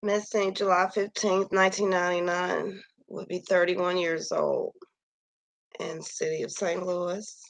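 A woman with a low, gravelly voice that sounds like it is going hoarse talks slowly and softly. She reads from a list and muses aloud into a computer mic, sighing as she goes. The sound is dry and close, with no room in it. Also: little pitch movement, no echo, poor audio. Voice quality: gravelly, slightly husky voice. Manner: slow, soft-spoken, sighing and musing aloud. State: losing her voice. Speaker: vlogger reading a missing persons list. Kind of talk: casual screen-share commentary. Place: at home near Kansas City.

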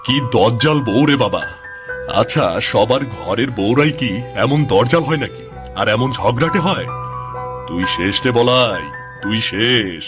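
A man's voice: medium pitch, expressive, unhurried, close to an everyday voice. Guy doing a put-upon poor farmer husband for a cartoon, folksy moaning, music under it. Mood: lamenting. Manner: plaintive, folksy lamenting. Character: hapless, henpecked poor village farmer. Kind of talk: cartoon voice acting.

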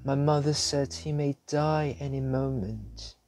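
A man's voice: medium pitch, measured pace, faint music behind, pitched above the performer's own voice, natural voice. A man voicing a small, tense young girl. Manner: soft, hesitant, murmuring. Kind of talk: audiobook reading.

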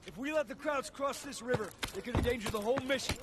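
Stern, commanding army lieutenant. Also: male voice, very high voice, fast talker, background noise. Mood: briefing. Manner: commanding, firm, clipped. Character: stern, steady American army lieutenant leading his platoon. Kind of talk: WWII video game cutscene acting.

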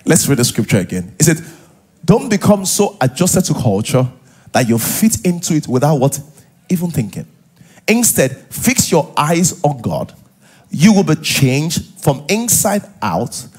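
Male pastor, middle-aged, Nigerian accent. High-pitched, husky delivery, conversational sermon with repeated rhetorical questions, headset mic on stage. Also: very animated, a little slow, a little mellow. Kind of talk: sermon to a live congregation. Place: large church auditorium, on stage.

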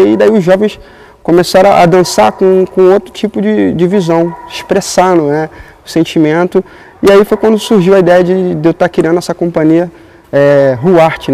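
A man's voice: on the high side, rough recording. A man in his late twenties with a Brazilian accent, a dance teacher recounting in an interview how his company began, with many fillers. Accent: Brazilian Portuguese. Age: 20s. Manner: relaxed storytelling with frequent fillers. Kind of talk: documentary interview. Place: outdoors in front of a painted mural. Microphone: lapel.

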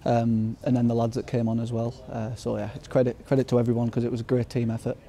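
A man's voice: mid-range voice, flat delivery, fast, warm tone. A young footballer in his twenties, giving a modest, upbeat post-match interview outdoors at the ground at night, with lots of fillers and restarts.

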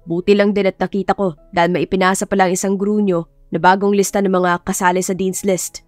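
A reserved male professor, acted by a woman narrator speaks with curt authority. He is stern but quietly caring, in an audio romance with music behind. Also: medium pitch, flat delivery, fast talker, no room sound, close to an everyday voice. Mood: gruff, pleased.

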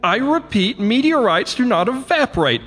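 An actor playing an indignant, pompous university professor who lectures and gets flustered, in a radio drama. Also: male voice, very high-pitched, very animated, normal speed, fairly bright tone, big-room sound, full character voice. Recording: radio drama with music underneath.

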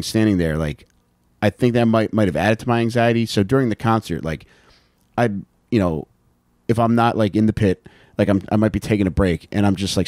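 An American man co-hosting a podcast, chatting casually and comedically with animated, sweary storytelling into a close handheld mic. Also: fairly low pitch, no echo. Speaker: podcast co-host. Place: small indoor podcast room with couches. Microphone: handheld microphone held close.

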